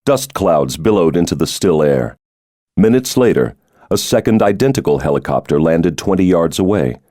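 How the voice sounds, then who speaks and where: A man's voice: deep voice, lively intonation, moderate pace, mellow, no echo, clean studio sound. A man reading a thriller novel aloud as a scripted audiobook narration.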